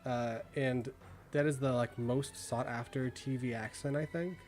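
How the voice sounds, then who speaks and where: A man's voice: mid-range voice, fairly even intonation, a little slow, darkish tone, soft background music. Chatty young Midwestern man, rambling. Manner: rambling, lots of "like" and "um". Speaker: streamer reviewing viewer work. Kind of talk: casual live-stream chat.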